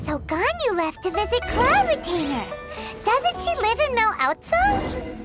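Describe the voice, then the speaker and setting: A woman voicing a tiny, chirpy, excitable fairy girl companion with a childlike manner in a video game. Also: extremely high pitch, unhurried, over loud music, heavily acted. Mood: curious. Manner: childlike, speaks of herself in third person.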